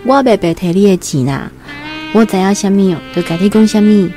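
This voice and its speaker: A woman's voice: medium pitch, fairly quick, over music, natural voice. A woman with a Taiwanese accent playing a frank, considerate working woman from the countryside in a radio drama.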